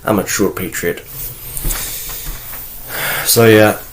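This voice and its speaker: Guy with a lowish voice ranting on his vlog from home, keeps restarting, swears bluntly. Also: fairly even intonation, a little hiss or hum, rough recording. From North Carolina, USA (raised there, born in Okinawa, Japan). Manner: rambling with many halting restarts, blunt and profane. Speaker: vlogger. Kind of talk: casual ranting monologue.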